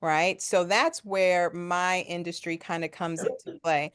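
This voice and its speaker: A woman's voice: fairly low pitch, a little slow, a bit bright, clean tone. A woman, a tax attorney from the United States, speaking as a guest in a webinar over a video call in a confident, conversational way. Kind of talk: webinar presentation.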